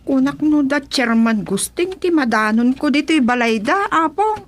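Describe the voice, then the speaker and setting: A woman's voice: high voice, lively intonation, normal speed, music in the background. A woman playing a cheerful, respectful village woman in an Ilocano radio comedy drama. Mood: cheerful, amused.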